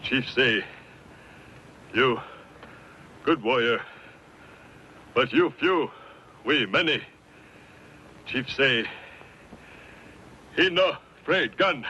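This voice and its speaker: An actor playing a stern interpreter for a chief in a radio western, speaking halting, clipped broken English. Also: male voice, mid-range voice, expressive, bright, forceful tone, full character voice. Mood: stern, warning. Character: stern Comanche interpreter relaying his chief's threats. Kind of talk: old-time radio western acting. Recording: dull, noisy old radio recording.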